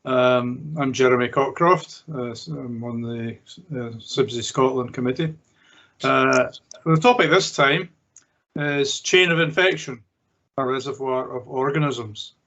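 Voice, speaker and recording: A man's voice: mid-range voice, fairly bright tone. A man hosting a webinar and introducing the speaker, hesitant with fillers and repeated words. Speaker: webinar host, committee member. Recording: dull lo-fi webinar audio.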